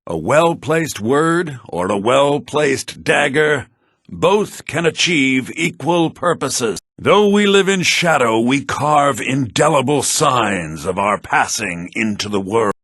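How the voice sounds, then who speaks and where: An excitable fantasy game schemer, theatrical and fussy. His delivery swings widely in pitch and has a rough, husky edge. The audio is dry, low-bitrate game sound. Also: slow, no room sound, exaggerated voice. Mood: menacing. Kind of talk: video game character dialogue.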